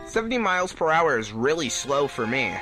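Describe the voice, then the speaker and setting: A man's voice: fairly high pitch, big pitch swings, brisk, music in the background. A man playing a cheeky, restless young cartoon hedgehog hero in a fan fiction reading. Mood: teasing.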